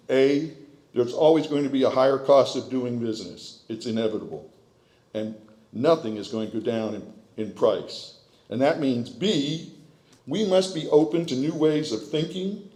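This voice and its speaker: An American man in his sixties delivers a prepared speech from a podium in an echoey hall. His voice is mellow and mid-pitched. He speaks in a measured, earnest manner with clear emphasis. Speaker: board candidate giving a speech. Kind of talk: prepared public speech, read from notes. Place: large meeting hall with stage, indoors. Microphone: podium gooseneck microphone.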